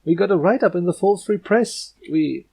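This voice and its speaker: A man's voice: high voice, moderate pace, gravelly, mellow, breathy edge, pitched above the performer's own voice, exaggerated voice. Man voicing an earnest, flustered pony princess. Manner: courteous, sputtering, deflated. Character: earnest, polite, easily flustered young female unicorn princess pony.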